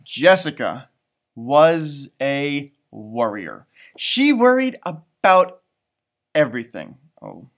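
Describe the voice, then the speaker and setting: Animated man reading a children's storybook. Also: a little high. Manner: expressive, chatty, drawn-out emphasis. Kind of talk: animated read-aloud with casual asides.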